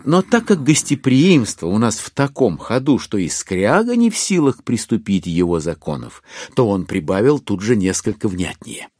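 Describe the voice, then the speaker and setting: Male audiobook narrator, lowish voice, really animated delivery, dry studio sound, dramatic literary reading. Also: normal speed, few pauses, no room sound. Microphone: close studio microphone.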